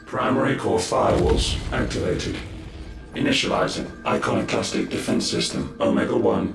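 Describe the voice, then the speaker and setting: An actor voicing an emotionless ancient defence system in a video game, making formal, impersonal announcements. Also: male voice, sits low, huge pitch swings, moderate pace, vocal fry, echoey room, music in the background, exaggerated voice. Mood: cold, impassive. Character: cold ancient machine defence system, male-voiced, emotionless.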